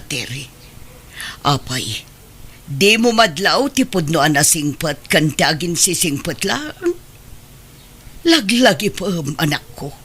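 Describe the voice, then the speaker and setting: A woman's voice: lowish voice, fairly bright tone, slightly rough, exaggerated voice. A woman playing a stern, distrustful middle-aged mother in an audio drama, admonishing her grown son emphatically.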